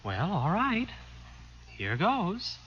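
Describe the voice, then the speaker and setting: A man's voice: huge pitch swings, extremely slow, very noisy, exaggerated voice. An actor playing a nervous, reluctant older man in a 1950s radio drama, flustered and hesitant. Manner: flustered, hesitant, breaks into shrill orders. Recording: muffled vintage radio recording with music.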